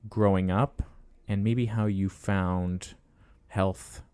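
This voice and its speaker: A man's voice: deep voice, fairly even intonation, slow, darkish tone, clear, resonant tone, no room sound. A man in his twenties hosting a casual fitness podcast, chatty and laughing as he tells stories. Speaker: podcast host and coach.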